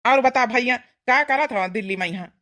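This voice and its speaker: A woman's voice: fairly high pitch, normal speed, bright, forceful tone. A woman voicing a matter-of-fact village father in a comedy cartoon, with an Awadhi accent.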